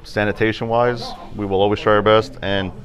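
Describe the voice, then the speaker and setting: Guy in his 30s chatting about his barbershop, lowish voice, laid back, lots of uhs. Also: fairly quick, a crowd in the background. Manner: relaxed, chatty, with many fillers. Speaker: barbershop owner. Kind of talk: casual interview answers. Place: barbershop interior.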